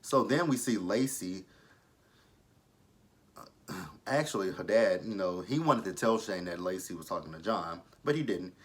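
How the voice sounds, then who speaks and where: A man's voice: low voice, animated, unhurried, pauses often, fairly bright tone. A man of thirty giving a casual, blunt and opinionated review of a TV episode, swearing freely. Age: 30s. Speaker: TV show reviewer. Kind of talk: casual review vlog commentary.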